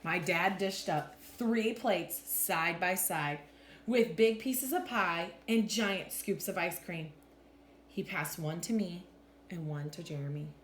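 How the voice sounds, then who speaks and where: A woman's voice: lowish voice, slow. A woman reading a storybook aloud like a teacher, expressively acting out the lines. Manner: animated, clearly acts out lines. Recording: echoey room with faint background noise.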